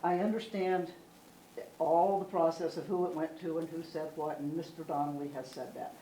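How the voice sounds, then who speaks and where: Woman, loud and emphatic, public comment, low mellow voice, echoey room. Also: fairly even intonation, normal speed. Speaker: resident giving public comment. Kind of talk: public comment at a town meeting. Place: town meeting room. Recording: echoey meeting room, light room noise.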